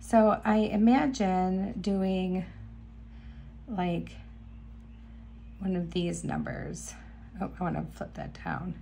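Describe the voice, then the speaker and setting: Chatty woman with a gravelly, froggy voice. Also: on the low side, fairly even intonation, slow pace, long pauses, dark tone, slight background noise. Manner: chatty, enthusiastic, talks while working. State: slightly hoarse, clearing throat. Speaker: beading channel host. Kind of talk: casual crafting tutorial. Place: indoor craft table. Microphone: camera above table, hands only.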